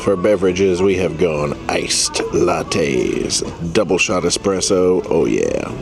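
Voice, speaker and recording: A man's voice: sits low, animated, slow, vocal fry, music in the background. A man giving casual, chatty and jokey vlog commentary as he goes along. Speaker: travel vlogger.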